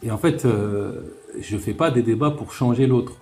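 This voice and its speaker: A man's voice: medium pitch, normal speed, mellow, soft background music. A man hosting a live online talk, chatting casually, laughing often and restarting his sentences. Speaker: host of live debate stream.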